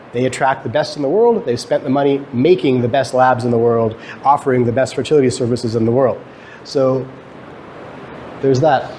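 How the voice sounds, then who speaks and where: A man's voice: a little high, warm tone. A man giving an explanatory lecture to students, conversational, posing questions and answering them himself. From Guyana, emigrated to Canada. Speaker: lecturer, academic researcher. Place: lecture room, talk to students. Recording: clearly noisy live lecture recording.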